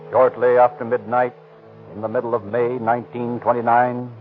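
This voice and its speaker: A man announcing a 1930s radio crime show, formal and persuasive in his sales pitch. The sound is narrow and phone-like, as from an old broadcast, with faint music. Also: flat delivery, normal speed. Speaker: announcer and narrator.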